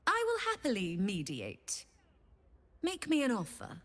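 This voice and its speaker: A woman's voice: fairly high pitch, wildly swooping pitch, measured pace, fairly bright tone, heavily acted. A woman playing a sly, greedy female devil who trades infernal bargains in a video game, theatrical, teasing and mock-courteous. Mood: coy. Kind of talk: video game character acting.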